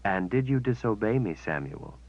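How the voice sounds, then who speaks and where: A man's voice: on the low side, lively intonation, moderate pace, no room sound, pitched above the performer's own voice. A man doing a cold, smug witch. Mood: stern. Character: cool, smug witch woman, menacing. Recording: dull, dry sound.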